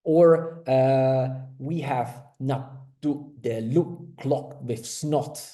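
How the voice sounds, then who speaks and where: Slow, hesitant Czech-native lecturer. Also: male voice, medium pitch, dark tone. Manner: hesitant, many fillers and restarts. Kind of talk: academic conference talk.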